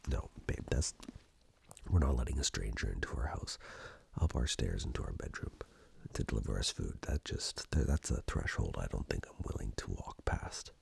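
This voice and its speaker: A man in a soft, unhurried, breathy ASMR roleplay, half-whispering close to the microphone as a flirtatious, playful romantic partner. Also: rumbling low, dry recording. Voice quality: breathy, gravelly.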